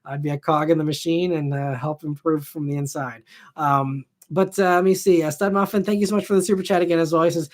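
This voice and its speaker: Host, man in his thirties, slightly high voice, loose rambling chat, lots of fillers. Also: fairly even intonation, fast talker. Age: 30s. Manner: rambling, heavy on fillers like "you know" and "I mean". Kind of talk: live stream chat answering viewer questions.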